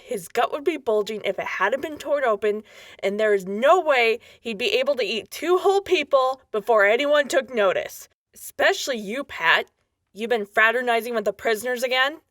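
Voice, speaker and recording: A tough, bossy sheriff, acted by a woman in a wasteland radio drama. The voice is fairly high and dryly recorded, gruff and sarcastic in manner. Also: fairly bright tone, no room sound, clean studio sound. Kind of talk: post-apocalyptic radio drama acting.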